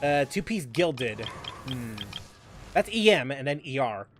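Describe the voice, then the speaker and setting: A man's voice: medium pitch, very animated, a little slow, soft background music. A man streaming a game live, thinking aloud as he plays and answering viewers' questions in a loose, chatty way. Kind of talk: live gameplay commentary.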